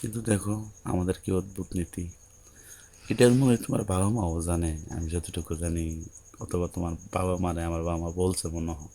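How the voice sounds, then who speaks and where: Deep, creaky Bangladeshi young husband, acted by a man. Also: steady tone, moderate pace, warm tone. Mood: gently coaxing. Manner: soothing, explanatory, colloquial. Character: newlywed young husband, patient, coaxing, on his wedding night. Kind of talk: colloquial audio-story phone dialogue.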